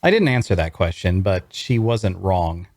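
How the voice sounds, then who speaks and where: Low-voiced man playing a fantasy traveler, close and dry. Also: big pitch swings, normal speed, a little mellow, no echo. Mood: wry, observant. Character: wry young male traveler narrating his fantasy adventure. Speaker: voice actor. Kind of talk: first-person scripted narration at a table read.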